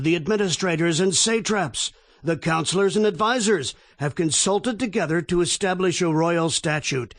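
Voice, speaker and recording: A man's voice: high voice, dry recording. An actor playing a scheming courtier who addresses his king in ceremonious proclamation in a scripture drama. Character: formal, scheming male royal courtier petitioning a king.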